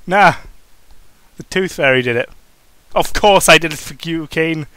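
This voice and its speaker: Small feisty wrestler done by a male reader, sarcastic, smug, highish pitch, forceful bright edge, insistent. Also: a little slow, smooth. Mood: smug, excited.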